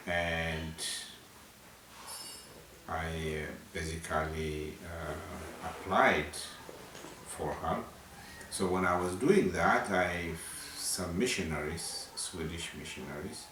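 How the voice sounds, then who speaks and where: A man, answering interview questions in a halting way with frequent restarts. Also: sits low, a bit bright. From Ethiopia. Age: 60s. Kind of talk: oral history interview. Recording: roomy, distant mic, background noise.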